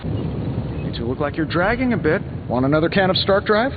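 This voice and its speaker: A man's voice: on the high side, sing-song, moderate pace, narrow, tinny audio. An actor playing a cocky male inventor superhero in a video game, glib, breezy and teasing. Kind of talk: video game dialogue acting. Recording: thin band, reverberant, music behind.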